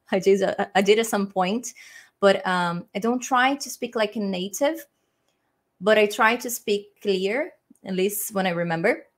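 A young woman from Brazil with a Brazilian Portuguese accent, giving English learning tips on a live stream in a small home room, pausing often with ums and restarts. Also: mid-range voice. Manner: hesitant, many ums and restarts, friendly. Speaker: English teacher. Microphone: webcam framing, desk mic.

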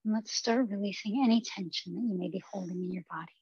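A woman leads a guided meditation as a yoga teacher, her voice very husky and breathy with a creaky edge. She gives gentle, unhurried instructions and leaves long pauses between phrases. The recording is dry and somewhat muffled. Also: mid-range voice, no echo. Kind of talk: guided body scan meditation.

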